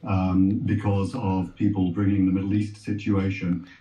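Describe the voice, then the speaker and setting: A middle-aged man giving earnest, measured and formal answers as a panel guest, heard as TV sound replayed through speakers. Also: low voice, little pitch movement, mellow, echoey room, rough recording. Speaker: rabbi on a discussion panel.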